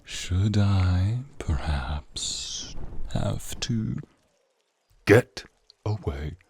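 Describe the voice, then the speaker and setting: A man voicing a flirtatious, teasing male naga in an ASMR roleplay, speaking in a seductive, breathy, drawn-out way to the listener. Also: deep voice, animated, darkish tone, a little hiss or hum, full character voice. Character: flirtatious, teasing male naga, crimson-eyed protective companion. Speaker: voice actor.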